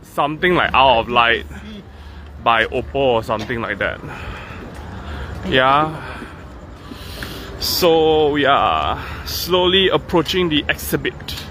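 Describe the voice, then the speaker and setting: A man's voice: mid-range voice, animated, takes it slowly, a little hiss or hum. A man giving casual walking tour commentary, chatty and easily amazed. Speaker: vlogger. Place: outdoor waterfront light exhibit at night. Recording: phone recording outdoors with loud music. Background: loud music.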